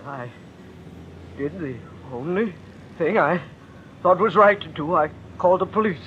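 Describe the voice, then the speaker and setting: A high-voiced middle-aged father, bitter and anguished, speaks in halting, theatrical phrases. It is an old radio play, dulled in bandwidth, with faint noise underneath. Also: lively intonation, narrow, tinny audio. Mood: hurt, indignant. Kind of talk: old-time radio drama acting.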